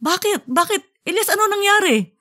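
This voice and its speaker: A woman voicing a caring, excitable middle-aged wife in an audiobook story, fretful and coaxing in manner. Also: very high-pitched, moderate pace, pitched above the performer's own voice, exaggerated voice. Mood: alarmed. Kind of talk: audiobook character voice.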